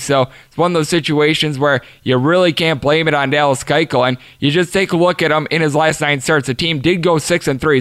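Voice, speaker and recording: A man hosting a sports betting podcast, delivering steady, stat-heavy analysis in a slightly raspy voice. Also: on the high side, dry recording.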